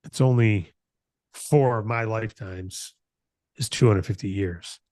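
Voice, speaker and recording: A man's voice: lowish voice, unhurried, darkish tone, no room sound, studio quality. An American man in his late fifties giving an informal motivational business talk, earnest and conversational, repeating phrases for emphasis. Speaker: company founder hosting a broker announcement meeting.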